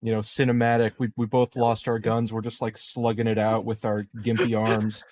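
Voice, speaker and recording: A man's voice: lowish voice, completely flat delivery, moderate pace, darkish tone. A man chatting casually as a player in a tabletop roleplaying game.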